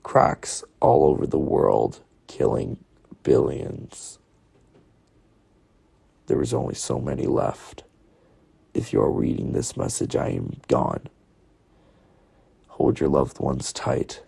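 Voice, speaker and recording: A man playing a solemn, halting president giving an address to the nation. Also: a bass voice, wildly swooping pitch, gravelly, warm tone, husky, full character voice. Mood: grave, weary. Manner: slow, halting, with heavy pauses.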